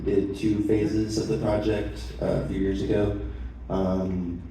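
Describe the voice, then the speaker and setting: A man's voice: lowish voice, flat delivery, a little slow, vocal fry, mellow. A man chairing a town board meeting, reading procedural items plainly with frequent fillers. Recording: echoey hall, far mic, faint noise.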